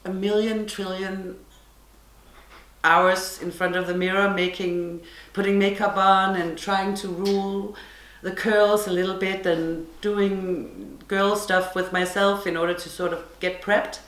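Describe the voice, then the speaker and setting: A woman's voice: medium pitch, fairly even intonation, measured pace, fairly bright tone, reverberant, poor audio. A woman from Denmark with a Danish accent, hosting her podcast from her living room in a chatty, self-deprecating monologue. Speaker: podcast host. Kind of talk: casual monologue to camera.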